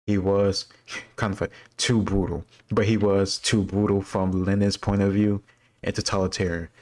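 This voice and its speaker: Deep-voiced man talking casually, fairly even. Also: moderate pace. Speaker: host answering history quiz questions. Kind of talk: casual reaction commentary, thinking aloud.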